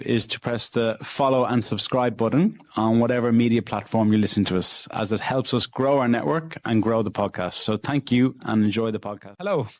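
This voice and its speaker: Brief: male podcast host, mid pitch, friendly and conversational, dry close mic. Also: no echo. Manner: friendly, conversational, quick asides. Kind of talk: podcast interview and scripted intro.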